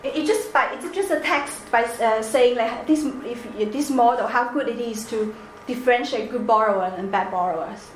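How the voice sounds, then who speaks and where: Woman with a Chinese accent presenting. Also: on the high side. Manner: halting, many restarts and "like" fillers. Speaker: presenter in a seminar. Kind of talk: seminar presentation with discussion. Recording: echoey room, faint background noise.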